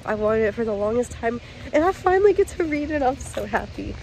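A young woman in college chatting casually to her phone camera in a relaxed vlog, upbeat and full of fillers. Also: on the high side, brisk, slight background noise, low-quality recording. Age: young adult. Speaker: college student vlogger. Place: dorm room and outdoors. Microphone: phone held at arm's length.

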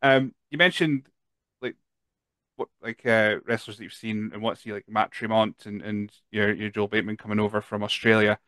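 A man's voice: on the low side, steady tone, normal speed, fairly bright tone, no echo. A man in his thirties hosting a podcast, asking long friendly questions with many restarts, into a studio mic at home. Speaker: podcast host. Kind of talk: casual interview. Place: home room with collectibles. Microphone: boom studio mic with headphones.

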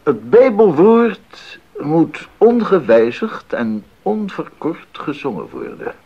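A man's voice: on the high side, very animated, takes it slowly, slightly rough, exaggerated voice. An actor playing an earnest, devout male composer in a 1980s radio drama, speaking in a formal, emphatic way. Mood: thoughtful, earnest. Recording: slightly dull 1980s radio recording.

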